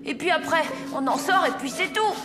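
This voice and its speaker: A woman's voice: extremely high pitch, animated, moderate pace. A woman voicing an impatient, curious, headstrong young boy in a children's cartoon. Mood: defiant, scoffing. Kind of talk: French children's cartoon dubbing. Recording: music behind the voice.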